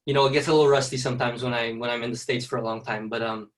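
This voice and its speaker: A man's voice: mid-range voice, fairly even intonation, racing, far from the mic. A young man from the Philippines, a ballet dancer, talking casually in an interview with lots of fillers. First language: Tagalog. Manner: soft-spoken, many fillers and "you know".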